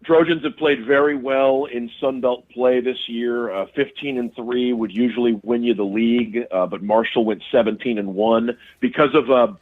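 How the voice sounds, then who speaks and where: A man's voice: medium pitch, fairly even intonation, moderate pace, few pauses. Radio sports guy on a phone line, kinda tinny, keeps restarting his sentences as he breaks down players. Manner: restarts and repeats words often, analytical. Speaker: radio sports broadcaster, guest. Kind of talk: casual sports talk interview.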